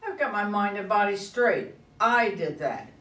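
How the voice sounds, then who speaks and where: A woman's voice: mid-range voice, animated, a little slow, a bit bright. A woman playing a proud, combative older female politician in a play reading, with an indignant, emphatic delivery. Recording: echoey room, far from mic.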